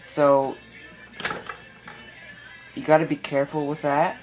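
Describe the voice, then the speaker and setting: A man giving a casual hands-on electronics tutorial, speaking haltingly as he thinks aloud while working. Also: on the high side, little pitch movement, music in the background, poor audio. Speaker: electronics hobbyist presenter. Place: small indoor room at a workbench.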